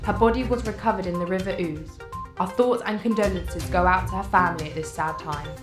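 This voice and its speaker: A woman's voice: lowish voice, fairly quick, natural voice. A woman playing a formal, solemn newsreader giving a news bulletin in an audio drama. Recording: echoey voice under prominent music.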